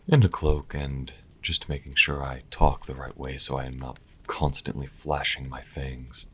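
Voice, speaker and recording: A man playing a proud, wry vampire healer in an intimate audio roleplay, speaking to the listener with haughty, deliberate pauses. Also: a bit bright, exaggerated voice. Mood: measured, proud. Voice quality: very deep, husky, breathy at times. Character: sassy male vampire healer, proud, wry, grudgingly charitable.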